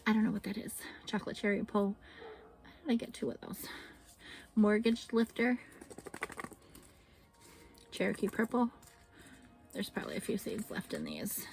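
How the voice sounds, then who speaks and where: A woman homesteading vlogger talking casually to camera in a chatty, rambling way. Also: medium pitch. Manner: chatty and rambling, reads out seed names.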